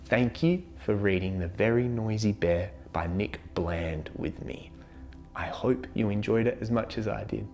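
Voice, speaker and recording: A woman hosting a children's read-aloud, telling a rhyming picture book in an expressive, dramatic way. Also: extremely low pitch, unhurried, faint music behind. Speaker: children's story reader and host.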